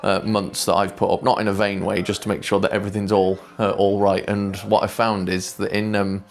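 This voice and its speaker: A man, talking quietly and hesitantly to camera in a casual travel vlog, pausing often with ums, on a clip-on microphone. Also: on the low side, little pitch movement, creaky. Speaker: vlogger.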